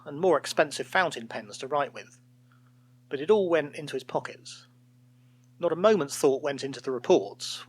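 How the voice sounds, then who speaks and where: A man reading a scripted fairytale as a storyteller, in an expressive, theatrical way. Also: a little high, husky.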